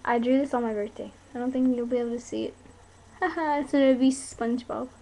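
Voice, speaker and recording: A woman's voice: on the high side, fairly even intonation, quick pace, warm tone. A young woman chatting casually into a webcam in a bedroom, rambling in an informal, playful, easily sidetracked way. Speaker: video blogger. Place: small bedroom, indoors. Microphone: webcam mic at close range. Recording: noisy cheap webcam audio.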